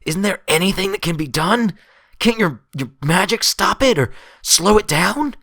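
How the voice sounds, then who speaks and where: Man doing a strained, high-pitched brash pony mare. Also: lively intonation, a little slow, fairly bright tone, no room sound, clean studio sound, full character voice. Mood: desperate. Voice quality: strained, hoarse. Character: brash female pegasus pony, anguished and defiant.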